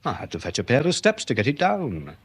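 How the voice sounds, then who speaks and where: A deferential English police sergeant with a deadpan wit. His pitch moves around a lot as he explains things pedantically, in British radio-play style. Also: a little fast, slightly rough, full character voice. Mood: deadpan, probing. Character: polite, dry-witted police sergeant, quietly exasperated. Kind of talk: British radio comedy-mystery drama acting.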